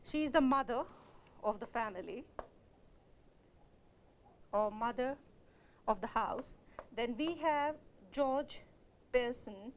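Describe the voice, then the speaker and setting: A woman's voice: on the high side, animated, slow pace, long pauses, slight background noise. A woman lecturer explaining a play to students, speaking in an explanatory way with frequent "uh, you know" fillers, whose first language is Kashmiri. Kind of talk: recorded literature lecture.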